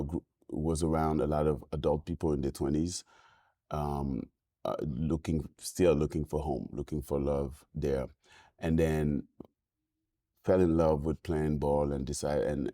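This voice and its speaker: Interview guest: very low, mellow male voice, Senegalese French accent, thoughtful and halting, dry close sound. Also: no room sound. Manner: thoughtful, halting with many ums and restarts. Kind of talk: reflective interview talk.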